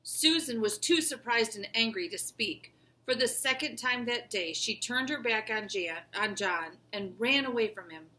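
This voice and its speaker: A woman reads a children's story aloud in the manner of a classroom teacher. Her voice is slightly high and distinctly bright. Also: lively intonation, a little slow, slight background noise, poor audio.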